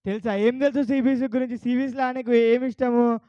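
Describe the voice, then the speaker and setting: A man's voice: extremely high pitch, fairly even intonation, a little fast, clean tone, dry recording, highs cut off. A man with a Telangana Telugu accent hosting a puppet show, coaxing a child audience with questions. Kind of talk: lively talk to a child audience.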